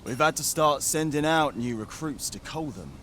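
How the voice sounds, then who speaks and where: A man's voice: a little high, lively intonation, normal speed, a bit bright, slight background noise. A man playing a dutiful, earnest town soldier in a fantasy game, speaking courteous archaic English. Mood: concerned.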